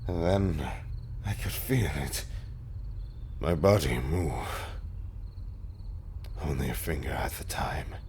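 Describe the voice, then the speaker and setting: A man playing a haunted, tender undead husband in an intimate audio roleplay monologue, speaking haltingly and often slipping into breathy whispers. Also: rumbling low, darkish tone, slight background noise. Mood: desperate, afraid.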